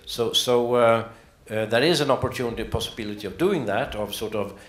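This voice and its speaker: A man's voice: medium pitch, brisk. A man moderating a conference session, speaking hesitantly with many uhs. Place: conference room in a museum. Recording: roomy hall sound.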